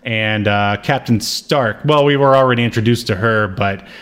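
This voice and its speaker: A man's voice: fairly low pitch, normal speed, fairly bright tone, clear, resonant tone. A man co-hosting a podcast, chatting casually and jokingly as he rambles through a recap of a TV show. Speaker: podcast co-host. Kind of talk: casual comedic recap chat about a TV show.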